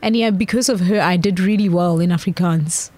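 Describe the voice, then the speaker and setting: A woman's voice: lowish voice, a little fast, dark tone, faint music behind. A young South African woman chatting loosely in an interview, full of "like" and "um". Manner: loose, hesitant, frequent "like" and "um". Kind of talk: casual interview.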